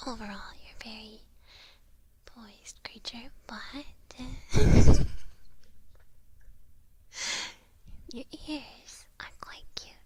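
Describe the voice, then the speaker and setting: A woman acts out a doting witch in an ASMR roleplay. She whispers very slowly and softly, in a fairly high voice. Her manner is gently teasing, as if fussing over a pet familiar. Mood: teasing, admiring. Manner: slow, soft, gently teasing.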